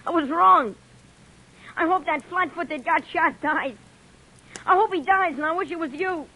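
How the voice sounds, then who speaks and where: A woman's voice: very high voice, normal speed, bright, forceful tone, a little hiss or hum, heavily acted, low-quality recording. A woman voicing a tough but frightened teenage gang boy who talks in streetwise slang, in 1940s radio acting style. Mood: bitter. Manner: streetwise slang, sneering then pleading.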